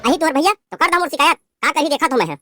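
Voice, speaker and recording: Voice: sits high, very animated, fast talker, over music. A performer voicing a spoiled, haughty young daughter-in-law with a Chhattisgarhi accent, scolding and rude in a cartoon. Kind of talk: cartoon voice acting.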